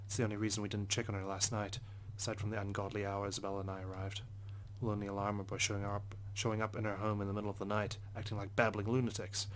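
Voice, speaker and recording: A man's voice: on the low side, completely flat delivery, fast, few pauses, a bit bright, a little hiss or hum, rough recording. A man reading visual novel narration aloud in an introspective, first-person manner. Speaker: let's play narrator.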